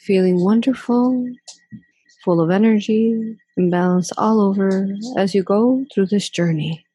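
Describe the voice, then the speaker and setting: A woman leading a guided meditation in a soothing, breathy, hushed voice, drawing out and gently repeating phrases with long pauses. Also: mid-range voice, no echo, poor audio.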